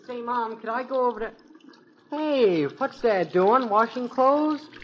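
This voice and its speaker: An actor playing an eager, cheeky and slightly obtuse teenage son in a 1950s radio sitcom. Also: male voice, extremely high pitch, measured pace, slight background noise. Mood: cheeky curiosity. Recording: muffled old radio recording.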